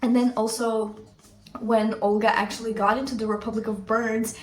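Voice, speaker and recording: A woman's voice: a little high, flat delivery, moderate pace, echoey room, faint music behind. A woman whose first language is Russian, reviewing books in a casual, rambling vlog with lots of fillers. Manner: chatty, rambling, many fillers. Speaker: book vlogger.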